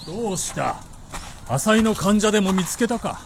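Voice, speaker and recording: An actor playing an imperious, ruthless feudal warlord in a radio drama, giving abrupt orders in archaic lordly speech with a forceful, commanding delivery. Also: male voice, high-pitched, lively intonation, normal speed, creaky, a little hiss or hum. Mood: stern, menacing. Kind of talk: Japanese radio drama acting.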